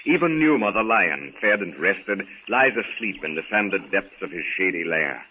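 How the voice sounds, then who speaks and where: An old, tinny radio recording with a little noise frames a man's fairly low voice. He narrates an adventure serial in scripted 1930s style, deliberate and theatrical, as a dramatic announcer. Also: big pitch swings.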